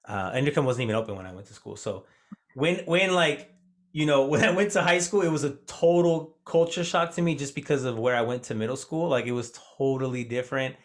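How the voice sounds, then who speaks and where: A man's voice: medium pitch, a little fast. A man hosting a casual podcast interview, a Spanish teacher whose first language was Spanish, talking in a rambling way full of fillers and restarts. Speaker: podcast host.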